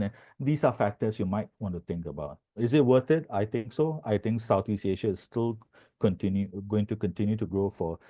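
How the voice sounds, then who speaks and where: A man giving a webinar talk as a market entry consultant, halting and restarting over a video call. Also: fairly low pitch, dark tone. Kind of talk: webinar presentation.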